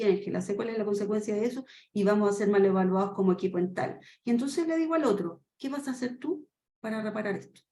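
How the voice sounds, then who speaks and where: A Chilean woman in her fifties giving a webinar lesson in a quick, animated way, acting out short dialogues and asking rhetorical questions. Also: a little high. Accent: Chilean Spanish. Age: 50s. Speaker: trainer. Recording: echoey room with faint noise.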